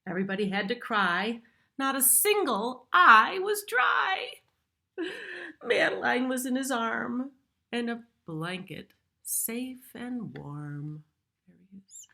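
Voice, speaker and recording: A woman's voice: on the high side, very animated, slow pace, gravelly, pushed and bright. A middle-aged woman reads a picture book aloud to young children, adding simple explanatory asides. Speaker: preschool storyteller reading aloud.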